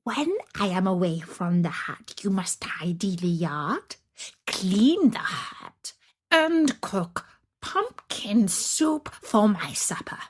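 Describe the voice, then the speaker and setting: A woman voicing a menacing old witch with a husky, breathy voice and slow, mock-kindly threats, in a storybook style. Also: medium pitch, expressive. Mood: bossy, mock-kind. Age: older. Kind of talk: storybook character acting.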